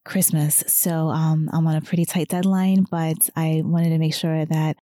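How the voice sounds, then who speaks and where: A woman hosting her own weight loss podcast while losing her voice, talking softer than usual in an encouraging tone. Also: deep voice, level tone, unhurried, no room sound, studio quality. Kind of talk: solo podcast talk.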